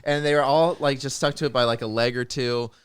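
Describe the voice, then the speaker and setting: A man's voice: mid-range voice, fairly even intonation, fairly quick, clear, resonant tone, dry recording, clean studio sound. A man chatting informally on a podcast as a co-host, explaining things from his own experience. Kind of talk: casual chat.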